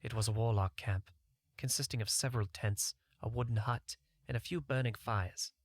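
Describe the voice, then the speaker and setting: Male story narrator, lower register, dry recording, reading first-person fantasy fiction. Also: moderate pace, no room sound. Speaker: audiobook narrator.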